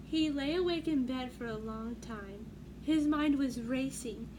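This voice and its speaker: A woman's voice: high voice, measured pace. A woman reading a picture book aloud for young children in a teacherly way, putting questions to her listeners. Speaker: read-aloud storyteller. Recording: clearly noisy room background.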